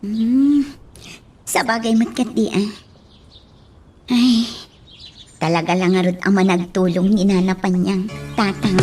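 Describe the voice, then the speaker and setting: A woman playing a gentle, caring young village woman in a radio drama, with an Ilocano accent. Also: medium pitch, fairly even intonation, measured pace, pauses often, music in the background, natural voice. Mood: reasoning.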